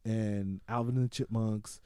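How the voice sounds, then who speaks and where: A man talks films casually as a podcast co-host. His voice is notably dark and relaxed, recorded very dry. He rambles freely, with slang and swearing. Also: lowish voice, measured pace, clean tone, no echo. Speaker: podcast co-host reviewing a film. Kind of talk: casual film review chat.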